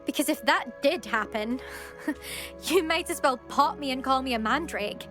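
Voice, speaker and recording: A woman's voice: high voice, measured pace, a bit bright, soft background music. A woman playing a competitive, self-assured teenage student witch in a video game. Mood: wry, friendly. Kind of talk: video game character dialogue.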